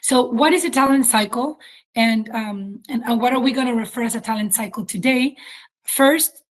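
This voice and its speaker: A woman's voice: on the high side, normal speed. A woman with a Chilean accent and Spanish as her first language, hosting a webinar in an informal, explanatory way with hesitant phrasing. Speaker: webinar host, company chief executive. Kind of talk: webinar presentation.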